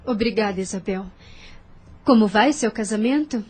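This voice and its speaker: A woman playing a gentle, naive young wife and mother in an audio drama, with a soft breathy voice. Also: mid-range voice, moderate pace, close to an everyday voice. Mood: polite.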